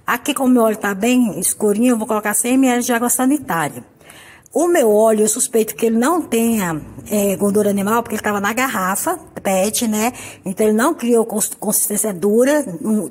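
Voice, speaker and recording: Hoarse chatty woman from Brazil. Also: on the high side, a little mellow. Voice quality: husky. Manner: chatty, affectionate, repeats "tá, gente?". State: hoarse from a cold. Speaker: soap-making channel host. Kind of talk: casual home tutorial. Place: home kitchen counter. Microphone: phone camera on the table.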